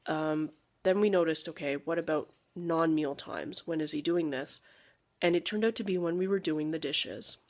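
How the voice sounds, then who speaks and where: A young woman, not a native English speaker, chatting casually to camera as a parenting vlogger, rambling with fillers and asides. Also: deep voice.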